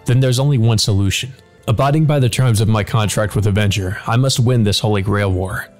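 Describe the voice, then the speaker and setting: A proud, brooding combat mage, read aloud by a man. The voice sits fairly low and speaks gravely and deliberately through a visual novel monologue, with soft music underneath. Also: close to an everyday voice. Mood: grim resolve.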